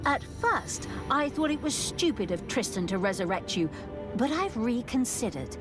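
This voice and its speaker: A woman playing a gleefully wicked dark elf commander in a video game, taunting and sarcastic with theatrical delivery. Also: on the high side, very animated, normal speed. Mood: triumphant, taunting. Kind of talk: video game character acting. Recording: game music behind the voice. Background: game music.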